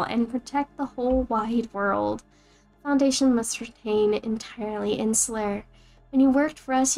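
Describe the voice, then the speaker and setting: A woman's voice: on the high side, darkish tone. A woman voicing a courteous but condescending operator of a secret agency's phone line.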